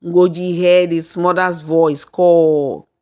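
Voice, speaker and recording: A woman narrating a folktale in a deliberate, dramatic storyteller's manner. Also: fairly low pitch, fairly even intonation, a little mellow, smooth. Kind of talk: scripted folktale narration with acted dialogue and song.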